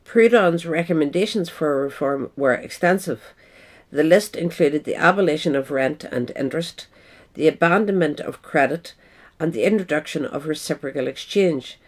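A woman reading a scripted essay aloud as a narrator, in a measured, formal manner. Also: sits low. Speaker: audiobook reader.

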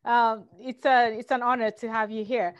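A woman hosting an interview show over a video call, reading a formal introduction and asking questions with a few halting fillers. Her voice sits a little high. The sound is dry, with no room echo. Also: flat delivery, lo-fi sound. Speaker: host and producer. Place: home room.

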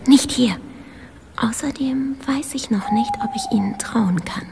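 An actress playing a secretive, guilt-ridden informant in a radio play, with a breathy, half-whispered, gravelly voice and a halting, cryptic manner. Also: female voice, on the high side, warm tone. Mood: wary, secretive. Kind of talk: German radio play acting. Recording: reverberant hall, faint background noise.

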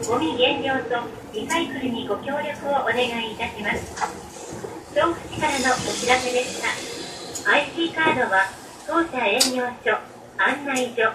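Lady doing polite bus announcements, echoey speaker, super loud vehicle noise behind. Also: high voice. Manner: formal, polite, measured. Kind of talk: scripted public announcement with stop names and advertisements. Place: played over speakers inside a bus. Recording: roomy, distant, noisy bus cabin.

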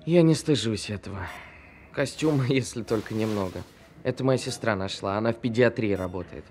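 An actor playing a kindly, earnest young amateur entertainer in costume in an audio drama. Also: male voice, on the low side, normal speed, darkish tone, slight background noise, natural voice. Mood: concerned, candid.

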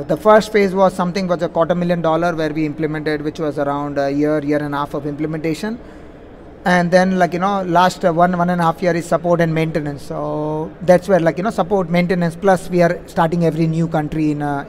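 A man with a notably high voice speaks from a conference stage, fielding questions. He is wordy and leans on filler phrases as he explains. There is a faint layer of live-event noise behind him. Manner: wordy, repeats "like, you know" as a verbal habit. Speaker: conference speaker, software implementation consultant. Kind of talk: answering audience questions at a conference. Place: on stage in a large conference hall.

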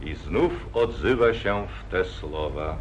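A man's voice: low-pitched, animated, slow, slight background noise. A man narrating an old epic in solemn verse, in 1940s radio acting style. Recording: dull lo-fi 1948 radio recording.

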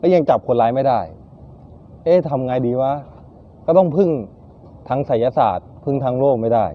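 A man telling a bawdy comic short story in casual, colloquial, halting phrases. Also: medium pitch, expressive. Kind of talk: casual humorous storytelling chat. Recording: muffled, dull lo-fi recording.

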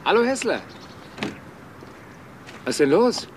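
A man's voice: high voice, sing-song, a little slow. An actor playing a breezy, flippant, chatty businessman in a crime radio play. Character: flippant businessman, son of an eminent doctor. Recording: outdoor ambience, faint noise.

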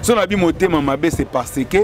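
A man's voice: on the high side, a little fast. Emphatic man, busy crowd behind. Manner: emphatic, enthusiastic. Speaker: resident interviewee. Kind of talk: street interview. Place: outdoor market street. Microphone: handheld mic held close. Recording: crowd noise outdoors.

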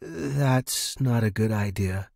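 A man reading an audiobook, voicing a reclusive, guarded station worker with a soft, wry delivery. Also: fairly low pitch, slow pace, gravelly, no room sound, full character voice. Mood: soft, flat refusal. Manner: soft, flat, dry-humored. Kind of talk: character dialogue in audiobook reading.

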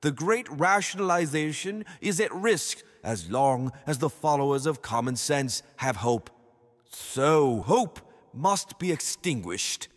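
An actor playing a smug, ruthless world dictator in an audio drama, mock-polite and theatrical. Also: male voice, fairly high pitch, animated, takes it slowly. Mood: brooding, intense. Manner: mock-polite, theatrical, switching from exasperated to coldly quiet. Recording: long reverberant hall.

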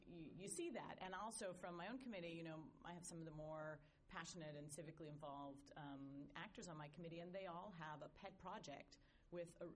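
A woman's voice: lowish voice, a little hiss or hum. A woman speaking on a conference panel, fluent but rambling with filler phrases.

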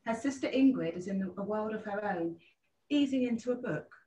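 A woman's voice: mid-range voice, expressive, a little fast, warm tone, slight background noise. A woman narrator reading out the scripted stage directions of a play. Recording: echoey, distant from the mic.

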